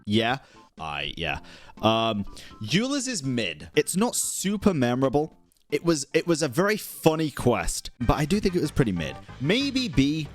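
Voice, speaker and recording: Male gaming commentator, lively pitch, casual, blunt, sweary, exasperated; light music underneath. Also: a little slow. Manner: blunt, profane, exasperated exclamations. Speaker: host of a gaming ranking video. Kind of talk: casual livestream-style commentary.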